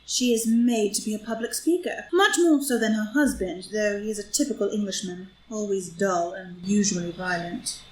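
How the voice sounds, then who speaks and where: A woman's voice: mid-range voice, moderate pace, hardly any pauses, lightly acted. A woman playing a scheming, amused society blackmailer with arch, taunting theatrical delivery in a stage play reading. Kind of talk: stage play read as audio drama. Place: indoor room, live group reading. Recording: roomy live group reading, faint noise.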